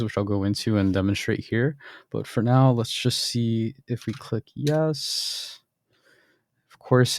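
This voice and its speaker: Low-voiced young man demoing his code. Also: darkish tone, no echo, studio quality. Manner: casual and explanatory, with frequent ums and throat clearing. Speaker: software developer demoing his bot. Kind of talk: screen-recorded software demo.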